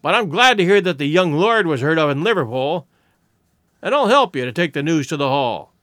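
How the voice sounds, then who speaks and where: A man voicing a morose, suspicious country innkeeper in an audiobook story, speaking gruffly and in rustic phrases. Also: fairly high pitch, big pitch swings, brisk, fairly bright tone, no room sound, studio quality. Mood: resentful.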